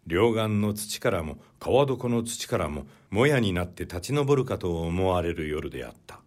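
A man reading classic literary fiction as an audiobook narrator, in a measured, deliberate way. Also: on the low side, a little mellow.

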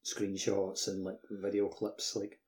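A man's voice: sits low, completely flat delivery, takes it slowly, darkish tone. A man co-hosting a casual gaming podcast, talking enthusiastically in choppy phrases full of filler words. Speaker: podcast co-host.

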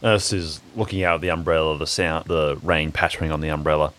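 A man's voice: very deep voice, steady tone, fast talker, a bit bright. A man playing in an improvised audio drama, casually narrating his character's actions.

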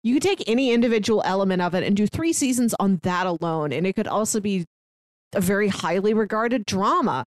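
A woman co-hosting a casual TV review podcast, giving measured, thoughtful opinions. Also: medium pitch, expressive, no room sound, studio quality. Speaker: podcast co-host.

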